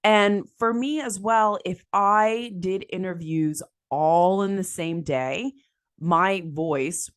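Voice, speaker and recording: A woman hosting a solo podcast, raspy after being sick, talking in a chatty, upbeat way with lots of fillers and small laughs. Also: lowish voice, slow pace. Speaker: podcast host. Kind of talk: solo podcast tips talk.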